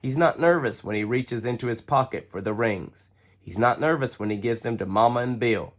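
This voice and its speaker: Man reading a children's picture book. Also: medium pitch. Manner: deliberate storytelling with acted-out dialogue. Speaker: story reader. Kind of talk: expressive children's picture book read-aloud.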